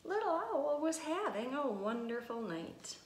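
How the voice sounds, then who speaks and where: A woman with a slightly high voice speaks as a preschool teacher doing a read-aloud. Her phrasing is simple and expressive, aimed at small children. The room is very echoey, with some faint noise. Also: a little slow. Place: indoor room with tiled wall. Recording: echoey room with noticeable hiss.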